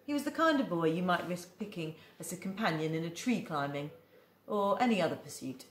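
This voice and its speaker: Teacher reading to her class, low-pitched, animated, echoey room. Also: fairly quick. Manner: expressive, acts out the dialogue. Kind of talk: storybook read-aloud.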